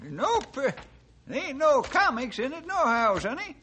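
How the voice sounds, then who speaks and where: Crusty old backwoods sidekick, very high voice, folksy slang, radio drama, noisy vintage recording. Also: male voice, sing-song, a little slow, bright, forceful tone, exaggerated voice. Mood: crusty teasing. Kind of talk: 1950s radio adventure acting.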